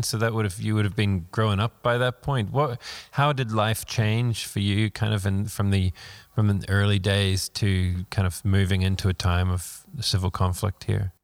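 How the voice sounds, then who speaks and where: A man interviewing guests, asking gentle, wandering questions full of fillers. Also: fairly low pitch.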